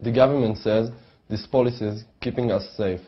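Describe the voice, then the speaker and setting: A nineteen-year-old man calmly reciting a scripted appeal to camera in a studio. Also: on the low side, brisk, slightly rough, muffled recording. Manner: calm, earnest recitation. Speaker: conscientious objector in an appeal. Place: studio backdrop.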